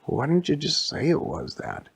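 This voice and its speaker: A man's voice: on the high side, huge pitch swings, normal speed, creaky, breathy edge, voice pushed up, heavily acted. A man voicing a puzzled male game sidekick with a questioning, exclamatory delivery.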